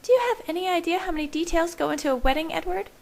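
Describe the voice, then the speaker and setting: A woman reading an audiobook, voicing a bubbly, bossy young vampire sister in an excitable, brisk, emphatic way. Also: very high voice, gravelly, pitched above the performer's own voice. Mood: excited, scoffing. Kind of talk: audiobook character voice.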